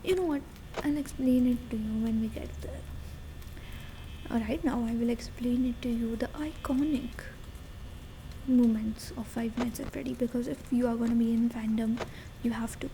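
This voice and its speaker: A woman with an Indian accent voicing an excited girlfriend in an ASMR roleplay, rambling eagerly in soft, breathy half-whispers. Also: fairly high pitch, moderate pace, lightly acted, low-quality recording. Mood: chatty, eager. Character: excited girlfriend gushing about game lore to partner. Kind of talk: ASMR roleplay, soft casual chat in Hinglish. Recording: noticeable background noise under voice. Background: ambient noise, video game playing.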